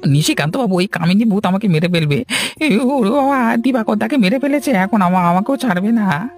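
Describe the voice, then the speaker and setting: A man's voice: high voice, expressive, moderate pace. A man voicing a frightened, guilt-ridden villager in a horror cartoon, stammering and whining as he pleads. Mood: frantic pleading. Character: panicky guilt-ridden village man begging for his life. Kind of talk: Bengali horror cartoon dubbing. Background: music.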